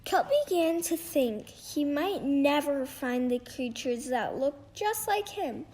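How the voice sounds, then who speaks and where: A female reader reading a children's picture book aloud in a halting way, stumbling over words and repeating phrases. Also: high voice, animated, darkish tone. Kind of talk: storybook read-aloud.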